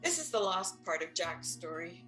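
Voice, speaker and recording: A woman's voice: on the low side, big pitch swings, normal speed, bright, forceful tone, soft background music. An older woman playing a firm, practical, exasperated but loving wife in an audio play, matter-of-fact and insistent. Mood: wry. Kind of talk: audio play stage acting.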